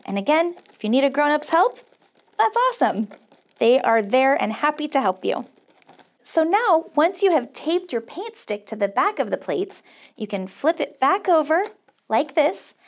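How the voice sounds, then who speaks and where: A woman with an American accent and a high voice guides young children through a craft. She sounds upbeat and encouraging, like a camp counselor, explaining each step simply and offering sing-song praise. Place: small indoor studio set.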